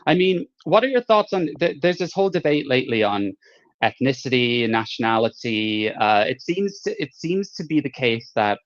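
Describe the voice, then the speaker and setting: A male host asking long, measured questions in a live interview. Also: mid-range voice, big pitch swings. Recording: dull lo-fi audio.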